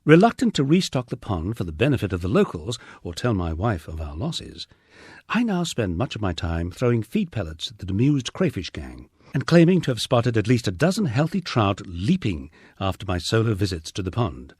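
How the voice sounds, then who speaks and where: A man's voice: lowish voice, big pitch swings, moderate pace, darkish tone, slightly rough, no echo, clean studio sound. A man narrating a first-person memoir audiobook in a wry storytelling manner.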